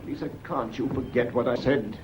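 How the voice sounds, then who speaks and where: An actor playing a defensive, agitated husband accused of murder, urgent and exasperated, in an old-fashioned British radio drama style. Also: male voice, medium pitch, fairly even intonation, moderate pace, noisy background, lightly acted. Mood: anxious pleading. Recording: dull, lo-fi radio recording.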